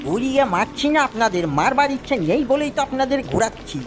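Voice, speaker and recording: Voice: high-pitched, big pitch swings, fairly quick, noisy background, voice pushed up. A storyteller voicing an irritable, uneasy village man. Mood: exasperated, grave. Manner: agitated, insistent, dismissive. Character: irritable, uneasy village man warning strangers off a haunted house. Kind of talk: dramatised story reading.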